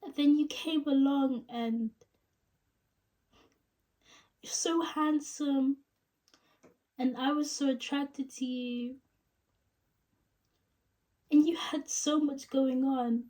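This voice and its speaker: A woman playing a regretful newlywed wife in a dramatic monologue, confessing in a halting, emotional, vulnerable way. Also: sits high, fairly even intonation, warm tone, distant mic, pitched above the performer's own voice. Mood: wistful. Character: regretful newlywed wife tearfully ending her marriage.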